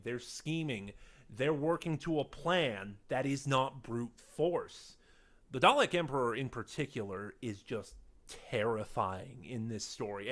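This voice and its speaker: A man reviewing audio dramas in a casual, unscripted monologue, speaking haltingly with emphatic points and quieter trailing asides. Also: medium pitch.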